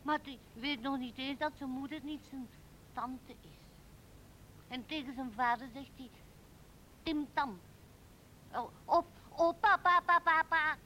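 Een vrouw speelt een dromerig, opschepperig klein meisje dat kinderlijk en zangerig vertelt in een hoorspel. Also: female voice, measured pace, long pauses, sharp tone. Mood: bossy, playful. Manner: childlike, singsong, giggly. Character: dreamy, boastful little girl in love with a giant. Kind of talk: radio drama storytelling. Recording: noisy old radio recording with rumble.

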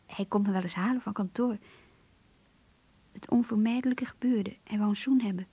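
A close, dry voice, mellow and breathy: a thoughtful young woman. She narrates intimately and reflectively, as if writing in a diary. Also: mid-range voice, moderate pace, no echo, muffled recording. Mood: confiding, tender. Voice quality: soft, breathy. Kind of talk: Dutch radio play monologue.

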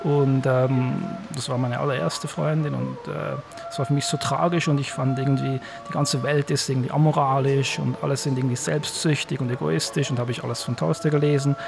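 A man speaking casually and reflectively as an interviewed professor, halting and repeating words as he thinks aloud. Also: medium pitch, little pitch movement, gravelly, over music. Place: university office.

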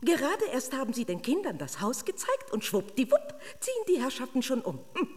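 A woman's voice: sits high, huge pitch swings, a little fast. A woman playing a haughty, easily offended fairy-tale princess in a children's radio drama, theatrical and pompous. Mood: indignant.

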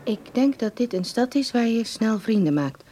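A woman's voice: fairly high pitch, animated, normal speed, dark tone. A woman reading out a letter as narration in a radio drama. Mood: calm, reflective.

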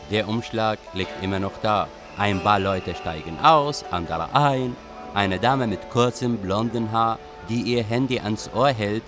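A man playing a reflective train traveller and writer, narrating in a measured, literary radio drama manner. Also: fairly low pitch, lightly acted.

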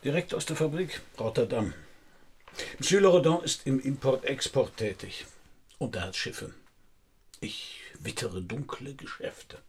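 An actor playing a world-weary, hard-boiled detective in a radio crime drama, with a gravelly voice and a dry, understated, probing manner. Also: male voice, mid-range voice, expressive, measured pace. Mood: musing. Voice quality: gravelly, husky. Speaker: actor in lead role and narrator.

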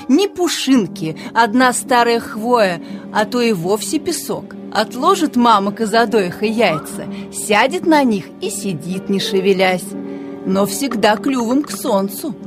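An actress playing a calm, gently teasing mother hedgehog in a children's audio play, explaining things patiently and tenderly. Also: on the high side.

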